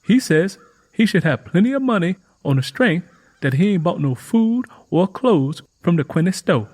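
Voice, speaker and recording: An eager, pleading Southern country girl played by a man in an audiobook. The voice is pitched high and a little husky, with chatty, folksy rural phrasing. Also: lively intonation, moderate pace, darkish tone. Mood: earnest, chatty. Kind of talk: audiobook character voice.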